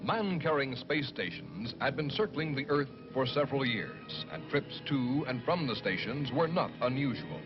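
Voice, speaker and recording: A man narrating a science fiction film in a measured, formal, scripted documentary style. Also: mid-range voice, sing-song, close to an everyday voice. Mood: solemn, expository. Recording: dull old film soundtrack. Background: prominent music.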